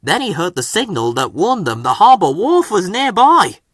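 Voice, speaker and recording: A man's voice: high voice, very animated, moderate pace, a bit bright, no room sound. Male narrator for children's story, hugely varied intonation, dry sound.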